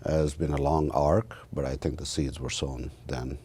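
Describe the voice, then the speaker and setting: A man in his fifties with an Indian accent, giving reflective interview answers in a halting way with many pauses. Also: extremely low pitch, flat delivery, dark tone, breathy edge. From northern India. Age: 50s. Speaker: interview guest, university research executive and computer scientist.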